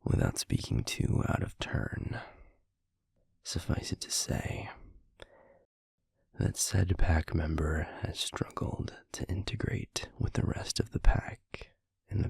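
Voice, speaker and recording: Man acting as a gravelly, very husky pack alpha. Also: rumbling low, darkish tone, no room sound, clean studio sound, heavily acted. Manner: formal, deliberate, understated. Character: stern, guarded male werewolf pack alpha, measured authority. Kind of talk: scripted audio roleplay acting.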